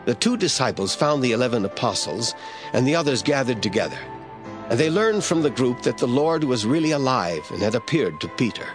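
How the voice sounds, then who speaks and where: A man narrating scripture as a scripted audio drama storyteller. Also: mid-range voice.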